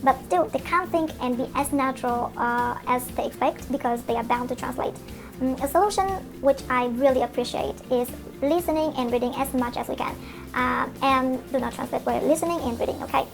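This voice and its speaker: A young Vietnamese-accented woman hosts a solo English-learning podcast, her first language Vietnamese. Her voice sits high with a gravelly fry at the edges, and faint music plays underneath. She sounds earnest and encouraging, filling gaps with "uh" and "um". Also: a little fast. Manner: earnest and encouraging, with frequent fillers. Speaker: English and IELTS coach, podcast host. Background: faint background music.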